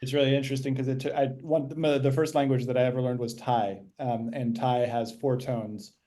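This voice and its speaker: A man's voice: medium pitch, flat delivery, fairly quick, warm tone. A man hosting a podcast, asking questions and thinking aloud in casual conversation. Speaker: podcast host.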